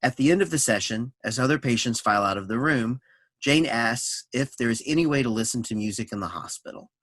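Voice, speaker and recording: A man's voice: mid-range voice, no echo. A man narrating a staged reading, reading scripted scene descriptions and stage directions.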